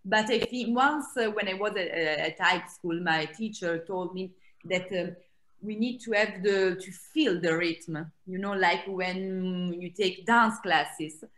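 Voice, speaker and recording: A woman's voice: lowish voice, measured pace. A woman in her thirties with an Italian accent, talking animatedly on a video call from a small room at home, with frequent laughs and restarts. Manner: animated, gestures a lot, laughs, many restarts. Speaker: author and Greek scholar. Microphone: laptop webcam on a video call.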